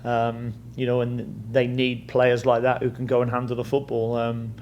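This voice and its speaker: A middle-aged Englishman answers reporters' questions in a level, even-toned voice that stays close to one pitch. He talks plainly and repeats words while he works out his thoughts. A faint hiss sits behind him. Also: normal speed, highs cut off. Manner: plain-spoken, repeats words while thinking, lots of "you know". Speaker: head coach. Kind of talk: press conference answers.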